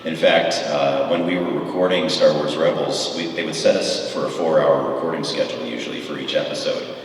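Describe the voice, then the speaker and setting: A man's voice: a bass voice, steady tone, fairly quick. A middle-aged man telling enthusiastic, rambling stories as a guest on a convention panel, with lots of fillers. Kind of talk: convention Q&A storytelling. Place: large convention hall. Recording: echoey hall recorded from far off.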